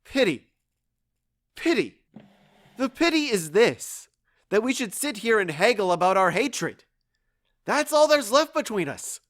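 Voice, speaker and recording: A man's voice: high voice, big pitch swings, unhurried, long pauses, a bit bright, heavily acted. A man playing a stoic, embittered husband in an audio drama, weary and resolute, with grave, formal speech. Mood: scornful.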